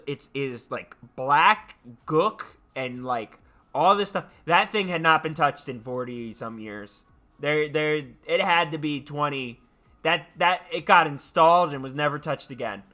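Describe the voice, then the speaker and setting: A man hosting a livestream, sounding tired yet animated, chatting casually and haltingly as he repeats his phrases. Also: fairly high pitch. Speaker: livestream host. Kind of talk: casual rambling chat to viewers.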